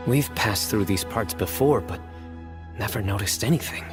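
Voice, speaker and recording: A man playing a serious, wary and composed adventurer in a video game, speaking in a measured way. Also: lowish voice, music in the background, natural voice. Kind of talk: video game character acting.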